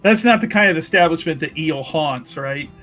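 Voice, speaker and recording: A man's voice: a little high, animated, normal speed, sharp tone, soft background music. A man casually narrating his character's moves in a tabletop roleplaying game, with wry banter.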